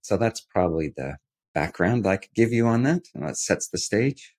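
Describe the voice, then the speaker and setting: Canadian-born guy, super deep voice, telling his story slow and wry. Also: sing-song, darkish tone. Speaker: guest. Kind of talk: storytelling in an interview.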